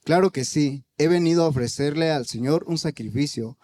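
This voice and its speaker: A man, the local pastor, reading a Bible passage aloud in a steady voice. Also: fairly high pitch, moderate pace.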